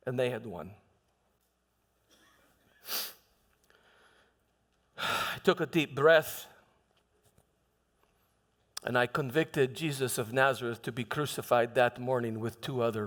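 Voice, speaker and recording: Slow, high-pitched, proud Roman governor acted by a man. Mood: defeated. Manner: impassioned, halting, dramatic, with stammered repeats. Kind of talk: theatrical church monologue. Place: large church hall. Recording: reverberant hall with music.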